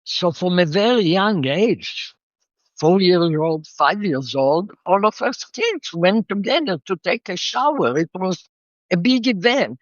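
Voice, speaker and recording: A woman's voice: on the low side, animated, a little slow, dry recording. An older woman from Israel, reflectively telling the story of her life as an interview guest, with a slightly muffled sound.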